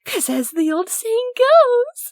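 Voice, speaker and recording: A woman's voice: extremely high pitch, sing-song, slow, no echo, full character voice. A woman playing a gloating, theatrical game villain who presents things in a grand, teasing way. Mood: gleeful anticipation. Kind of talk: scripted game character lines.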